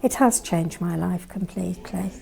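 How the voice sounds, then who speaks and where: A woman's voice: lowish voice, moderate pace, creaky, dark tone. An older woman sharing heartfelt, thoughtful testimony about joining a singing group. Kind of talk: reflective interview testimony.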